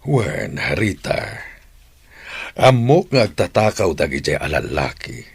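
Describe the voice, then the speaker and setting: Radio drama wealthy older householder: Ilocano accent, shrewd and calm, rasping low voice, swinging intonation. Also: male voice, slow, no room sound, exaggerated voice. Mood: calm, assured. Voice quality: gravelly, husky.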